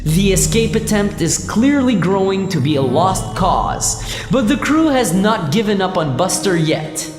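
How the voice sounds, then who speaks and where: A woman's voice: mid-range voice, sing-song, unhurried. A woman reading scripted narration for a radio play. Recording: echoey hall reverb with loud music.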